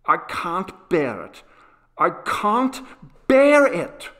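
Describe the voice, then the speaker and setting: A man's voice: high voice, sing-song, slow, a bit bright, voice pushed up, heavily acted. A man voicing a frightened, sickly young boy who pleads tearfully with his father. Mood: pleading, tearful. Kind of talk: audiobook character voice.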